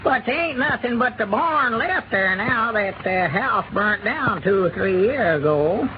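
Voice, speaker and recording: A fussy old Arkansas backwoodsman who tires easily, talking in a high, twangy voice. A dull, muffled 1930s radio recording carries him, with heavy hiss behind. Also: animated, measured pace. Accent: rural Arkansas. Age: older. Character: fretful old rural man, easily tired. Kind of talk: 1930s radio comedy acting.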